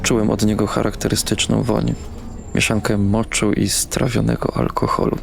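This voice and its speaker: An actor playing a bitter, brooding young man in an audio drama, narrating and speaking in a weary, terse deadpan. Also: on the low side, moderate pace, creaky, a little hiss or hum. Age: young adult. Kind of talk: audio drama first-person narration and dialogue.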